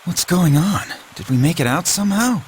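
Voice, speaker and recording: A man's voice: high voice, animated, normal speed, slight background noise. A man voicing a bewildered teenage boy in an English dub of an anime video game. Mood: puzzled, urgent.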